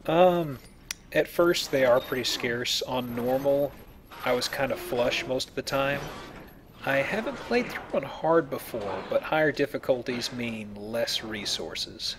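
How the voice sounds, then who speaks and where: A man's voice: medium pitch, measured pace, crowd noise. A man giving casual, explanatory gameplay commentary as he plays a video game. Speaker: let's player playing and explaining the game.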